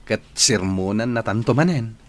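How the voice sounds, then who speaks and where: A man's voice: lowish voice, normal speed, close to an everyday voice. A man playing an earnest young man in love in a radio drama. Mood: happy.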